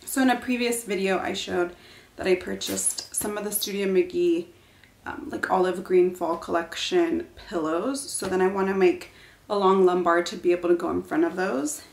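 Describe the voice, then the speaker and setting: A young woman filming a casual home decor haul vlog at home, talking to the camera in a relaxed, chatty, enthusiastic way. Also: lowish voice, normal speed, gravelly, roomy sound. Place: living room at home, indoors.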